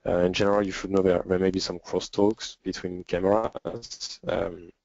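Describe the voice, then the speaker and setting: A low-pitched man with a French accent takes questions in an informal webinar. His delivery is halting, with frequent pauses. The audio is dry and dull, like a lo-fi online session. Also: flat delivery, darkish tone, no room sound. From France. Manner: hesitant, halting, with frequent pauses and throat clearing. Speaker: software developer and webinar presenter.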